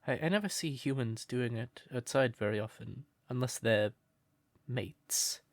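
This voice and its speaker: Casting: male actor as shy crow in human form, sweet and devoted, mid-pitched, unhurried, gentle stammer, for intimate roleplay audio. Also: no room sound. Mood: shy, curious. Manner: hesitant, stammering, gentle and breathy. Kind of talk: soft roleplay audio, intimate character acting.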